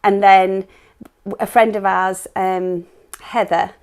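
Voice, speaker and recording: Chatty woman with a creaky voice. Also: mid-range voice, level tone, slow pace. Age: 40s. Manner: chatty, often ends with tag questions. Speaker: co-author and workshop host. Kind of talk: casual live-stream chat.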